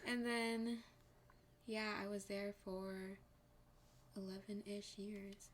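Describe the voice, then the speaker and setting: A woman's voice: medium pitch, little pitch movement, slow pace. A young woman in her twenties, a podcast guest who was raised in Singapore, China and Hong Kong, chatting casually and laughing often. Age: 20s. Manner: laughs a lot. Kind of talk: casual chat.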